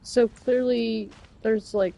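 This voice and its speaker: A woman's voice: a little high, fairly even intonation, slow, gravelly, warm tone, poor audio. A woman game streamer giving casual live commentary, musing aloud to herself as she plays. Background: faint game music.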